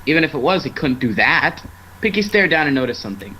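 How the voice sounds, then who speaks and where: A man's voice: on the high side, big pitch swings, fast, bright, forceful tone. A man narrating fan fiction casually as an amateur storyteller, now and then stumbling over the script. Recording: noisy amateur online stream.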